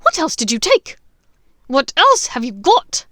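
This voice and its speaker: A woman voicing a crazed, furious witch in an audiobook story, seething and shouting menacingly. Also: high-pitched, huge pitch swings, measured pace, no echo, clean studio sound, voice pushed up, exaggerated voice. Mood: crazed threatening. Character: crazed, furious adult witch interrogating a captive.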